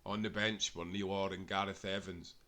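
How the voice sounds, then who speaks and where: A man's voice: low-pitched, level tone, moderate pace, pushed and bright. A Scottish man reading aloud a football history tale, earnestly and with small self-corrections along the way. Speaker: narrator.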